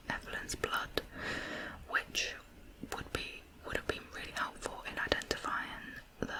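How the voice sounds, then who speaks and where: Slow, whispering ASMR true crime narrator. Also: low-quality recording. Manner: soft whispering, unhurried, deliberate phrasing.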